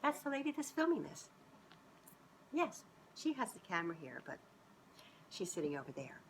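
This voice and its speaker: A woman's voice: mid-range voice, moderate pace, slight background noise, low-quality recording. A woman in her fifties reading a children's storybook aloud, expressively and informally, with chatty asides to young listeners. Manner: expressive, informal, often breaking off to comment. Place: living room sofa, indoors. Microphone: camera a short distance away.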